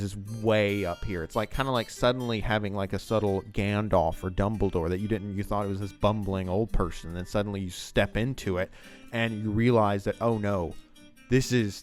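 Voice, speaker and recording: Male video essayist, low voice, enthusiastic, rambling scene commentary, faint guitar music behind.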